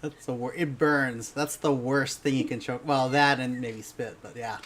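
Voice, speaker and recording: A man with an average-pitched voice moderates a discussion. He asks questions in an informal, chatty style over faint background noise. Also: steady tone, normal speed, a bit bright. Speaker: panel moderator. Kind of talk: casual interview questions.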